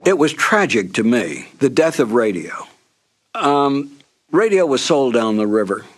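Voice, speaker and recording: An older American man reflecting in an interview as a veteran radio performer, measured, emphatic and opinionated. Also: mid-range voice, sing-song.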